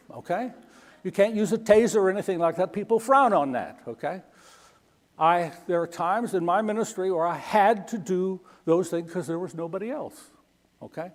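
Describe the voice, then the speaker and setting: A middle-aged man speaks in a fairly high voice, telling mission stories in a folksy way and checking in with "okay?" again and again. His voice carries the long reverb of a big church hall. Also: darkish tone. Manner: folksy, keeps asking "okay?". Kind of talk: Sunday school talk.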